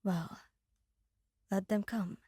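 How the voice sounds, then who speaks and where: A woman voicing a cool, self-assured masked mafia boss in an audio roleplay, speaking with calm command. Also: medium pitch, slow, husky, dry recording, studio quality. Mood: cool, confident.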